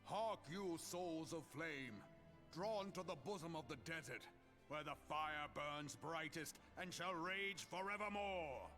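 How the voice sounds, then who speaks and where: An actor playing a fiery, commanding military leader, proclaiming solemnly in grand fantasy game oratory. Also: male voice, on the high side, pushed and bright, faint music behind. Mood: proud, rousing. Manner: solemn, declamatory, measured proclamation. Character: fiery, commanding male military leader rallying his nation.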